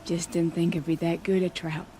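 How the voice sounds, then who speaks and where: An actress playing a tough, blunt warrior big sister in a video game, speaking weakly and haltingly as she dies. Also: fairly low pitch, little pitch movement, dark tone, slight background noise, close to an everyday voice. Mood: strained, urgent. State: weak, coughing, labored breath.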